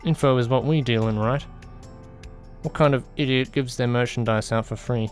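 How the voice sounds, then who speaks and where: Male reader as a cynical male detective, low-key, calm, contemptuous, cutting, audiobook, faint music behind. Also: moderate pace, close to an everyday voice. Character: cold, cynical male detective, information dealer. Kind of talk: audiobook character dialogue.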